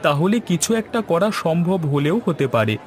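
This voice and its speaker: A man's voice: fairly high pitch, very animated, normal speed, slight background noise. A man playing an earnest village leader in a cartoon, speaking in formal, rallying speeches. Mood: urging. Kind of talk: scripted cartoon dialogue.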